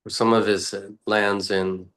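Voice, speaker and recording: Man, meditation teacher, very low voice, flat even intonation, contemplative phrasing, long pauses. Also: clear, resonant tone. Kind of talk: guided meditation, unscripted and reflective.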